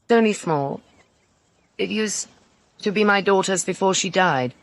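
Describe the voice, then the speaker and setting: A woman acting in a radio drama as a bitter servant, blunt, pointed and quietly menacing. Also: mid-range voice, unhurried, lightly acted. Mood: blunt urging.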